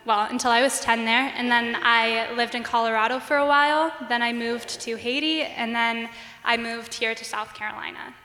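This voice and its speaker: A young woman from Bosnia and Herzegovina talks on a student panel, earnest and upbeat. Her voice sits a little high and sounds bright. The sound echoes with a long reverb around a large hall. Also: fairly even intonation, normal speed. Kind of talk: casual panel talk.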